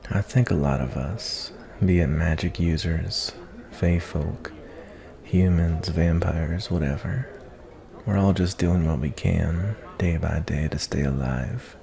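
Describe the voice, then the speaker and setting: Casting: male actor for a kindly cowboy bounty hunter, very low and mellow, folksy and reflective, soft ASMR delivery for a fantasy tavern roleplay. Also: takes it slowly, exaggerated voice. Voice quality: low, gravelly. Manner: folksy, rambling, kindly drawl with cowboy turns of phrase. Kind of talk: ASMR roleplay, softly spoken to the listener.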